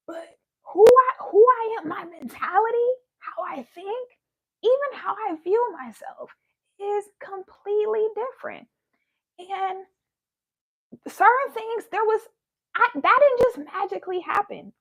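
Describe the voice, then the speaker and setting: A young woman hosting a motivational livestream, earnest and goofy, laughing often, her voice going hoarse. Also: extremely high pitch, low-quality recording. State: losing her voice. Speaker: livestream host. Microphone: phone or webcam by a ring light.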